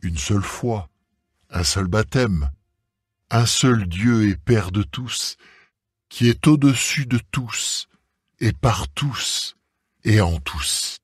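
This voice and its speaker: A man reading scripture aloud as a solemn scripted dramatised Bible recording, with measured, deliberate phrasing. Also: lowish voice, husky, no room sound, studio quality. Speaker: scripture reader. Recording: music under the voice. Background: background music.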